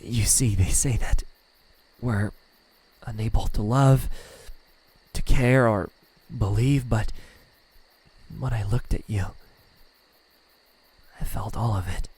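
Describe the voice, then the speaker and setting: A man voicing a lonely, tender demon in love in an ASMR roleplay, speaking softly and haltingly, often near a whisper. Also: fairly low pitch, heavily acted, rough recording. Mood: earnest, tender. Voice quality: breathy, soft, half-whispered. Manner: hesitant, halting, stammering. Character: lovesick male demon, lonely, tender, self-doubting.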